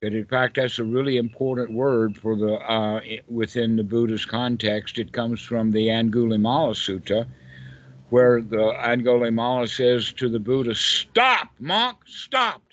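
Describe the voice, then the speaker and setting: A man's voice: medium pitch, level tone, moderate pace, a little mellow, dry recording. A man with a Southern US accent teaching informally in a group call, emphatic and fond of repeated rhetorical questions. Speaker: meditation teacher. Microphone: online group call. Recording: dull, lo-fi internet call audio.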